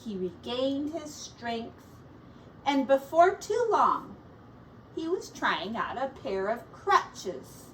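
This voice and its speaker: A woman teacher reading a children's picture book aloud expressively, pausing to explain words and comment on the pictures. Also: high-pitched, big pitch swings, fairly bright tone. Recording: distant mic with faint background noise.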